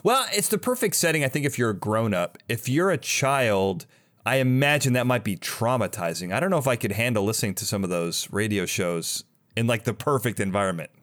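Man, very animated intonation. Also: medium pitch, a little fast. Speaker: podcast host, interviewer. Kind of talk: casual interview chat.

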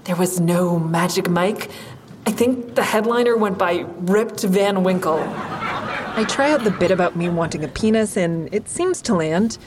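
A woman's voice: lowish voice, normal speed, background noise, close to an everyday voice. An actress playing a wry stand-up comedian who narrates her own story conversationally in an audio drama. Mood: performing, upbeat.

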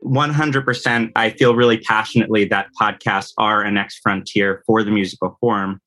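Bright, low-pitched man in an interview. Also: steady tone, moderate pace, faint music behind. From New York state, United States. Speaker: podcast guest, composer and audio producer. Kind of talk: conversational interview.

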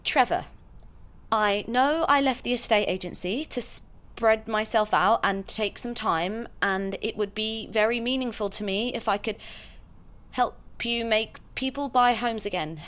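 A woman's voice: a little high, measured pace, a bit bright. A woman acting a needy, unstable former estate agent in an audio drama, hesitant and anxiously repeating herself. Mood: nervous, pleading. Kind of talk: naturalistic audio fiction acting.

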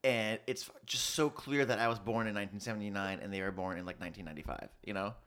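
A man's voice: on the low side, quick pace, clean tone. A man of thirty-five chatting casually and telling stories in slangy, sweary speech, acting out bits of dialogue as he goes. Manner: animated storytelling with acted-out quotes, slangy with swearing. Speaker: college student in a business fraternity. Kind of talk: casual chat, storytelling.

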